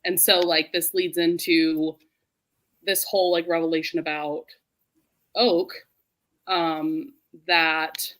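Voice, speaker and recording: A woman hosting a book discussion in a casual, animated way, full of "like" and quick to laugh. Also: lowish voice. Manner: animated, laughs readily while talking; informal, heavy use of "like". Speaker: host of a book readalong live show. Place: remote group video call.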